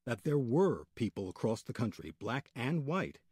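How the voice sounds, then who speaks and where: A man hosting a radio program, reading scripted historical narration with deliberate, dramatic emphasis. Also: mid-range voice, sing-song, a little mellow, husky, no echo, clean studio sound.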